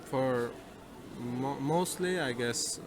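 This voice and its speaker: A man from Russia, an international student giving unhurried answers while groping for words. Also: medium pitch, music in the background. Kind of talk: casual spoken answers.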